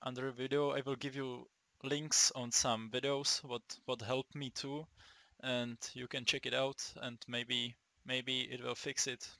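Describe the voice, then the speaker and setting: A young man from the Czech Republic with a Czech accent, giving a casual, halting gaming tutorial, swearing often and restarting his sentences. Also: medium pitch, level tone, creaky, pushed and bright, no echo. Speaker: gaming video maker.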